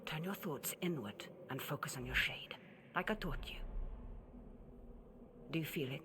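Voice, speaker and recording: A woman playing a calm, cryptic, unyielding older mentor in a cinematic video game, speaking in a measured, grave way. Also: deep voice, noisy background. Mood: calm, instructive.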